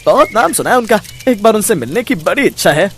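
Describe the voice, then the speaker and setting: Man playing an eager gangster sidekick, raised pitch. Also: very animated, fast, a little hiss or hum. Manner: inquisitive, fawning. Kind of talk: Hindi cartoon moral story.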